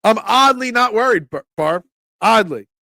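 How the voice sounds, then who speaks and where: Chatty Philly-accented sports host, gravelly. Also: male voice, sits high, normal speed, dry recording, studio quality. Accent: Philadelphia. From Philadelphia area. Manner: animated, chatty, swears casually, answers viewers by name. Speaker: sports talk show host. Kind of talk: casual live-stream sports talk, reading chat.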